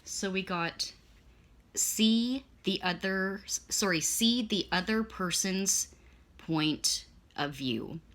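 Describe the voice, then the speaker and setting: A woman's voice: medium pitch, slow, a bit bright. A woman reading tarot and oracle cards in a casual, meandering way, filling her talk with ums and restarts, her voice strained and fading as if she is losing it. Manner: chatty, meandering, with many fillers and self-corrections. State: losing her voice, clearing her throat. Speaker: tarot and oracle card reader. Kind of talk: casual spoken card reading.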